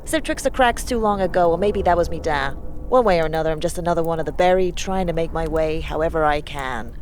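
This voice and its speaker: Over clear background noise comes a wary young slum woman, played by an actress, her voice on the low side. She is blunt and guarded, speaking rough street slang as in a fantasy audio drama. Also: a little fast, a little mellow, lightly acted. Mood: guarded, dismissive.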